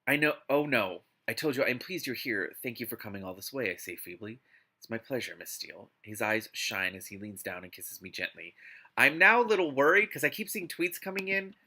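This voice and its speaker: Bright-voiced man reading aloud, expressively exasperated. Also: medium pitch, brisk. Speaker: host reading a book aloud with commentary. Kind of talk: live read-aloud with casual reactive commentary.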